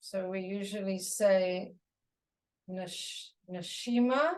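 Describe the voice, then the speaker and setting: A woman teaching a guided meditation, giving soothing, unhurried instructions in short phrases with pauses. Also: mid-range voice, recorded from a distance.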